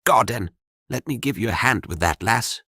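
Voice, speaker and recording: A man's voice: fairly low pitch, animated, normal speed, pushed and bright, breathy edge, no echo, studio quality, voice pushed up, exaggerated voice. A man voicing a wandering former king, courteous and wry, with old-fashioned courtly speech. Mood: hearty.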